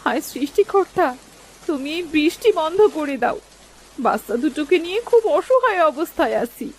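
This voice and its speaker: A woman's voice: high voice, very animated, moderate pace. A woman playing an anxious, devout poor village wife and mother in a cartoon story, with a pleading, plaintive manner. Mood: anxious, despairing. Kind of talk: Bengali cartoon story voice acting.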